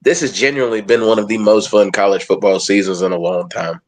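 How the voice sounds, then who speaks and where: A man from Georgia co-hosting a sports podcast, excited and upbeat. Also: fairly low pitch. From Georgia, USA. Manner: excited, upbeat, quick bursts of praise. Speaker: podcast co-host. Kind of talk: casual sports podcast chat.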